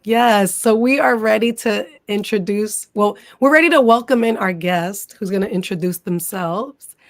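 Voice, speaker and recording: A woman hosting a podcast in a relaxed, casual way, filling her talk with "um" and "like". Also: mid-range voice, moderate pace, no room sound, studio quality. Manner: relaxed, many fillers like "um" and "like". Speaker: podcast host.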